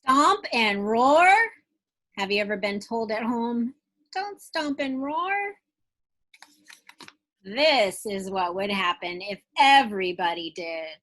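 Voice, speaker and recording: A woman's voice: fairly high pitch, animated, slow pace, long pauses, fairly bright tone, low-quality recording. A woman teacher reading a picture book aloud to young children, stretching out phrases expressively and putting questions straight to her listeners.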